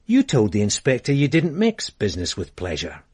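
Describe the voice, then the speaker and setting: An actor playing a persistent police sergeant, blunt and probing in a radio drama. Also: male voice, lowish voice, normal speed, breathy edge, exaggerated voice. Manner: probing, blunt, lively delivery. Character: persistent police sergeant, middle-aged man.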